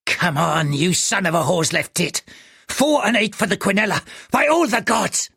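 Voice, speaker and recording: A man's voice: sits high, moderate pace, creaky, pushed and bright, breathy edge, no echo, studio quality, pitched above the performer's own voice, heavily acted. A male audiobook reader voicing a rude, impatient racetrack bettor who curses coarsely. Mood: cursing. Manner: coarse, cursing.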